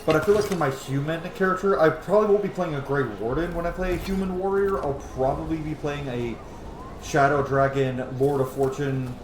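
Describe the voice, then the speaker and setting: A man's voice: on the high side, brisk. Man casually commentating gameplay in echoey room. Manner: casual, profane. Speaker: gaming video host. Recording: roomy echo, clear background noise.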